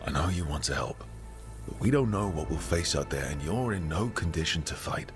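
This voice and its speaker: An actor playing an earnest, protective adventurer in a fantasy game, speaking in a measured, serious way. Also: male voice, a bass voice, lively intonation, music in the background. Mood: serious, concerned. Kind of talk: dramatic game dialogue.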